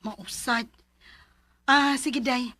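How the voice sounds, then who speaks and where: A woman's voice: a little high, fairly even intonation, very slow, bright, forceful tone, no room sound, heavily acted. A woman with a Cebuano Filipino accent playing a supportive, sympathetic neighbour in a radio drama.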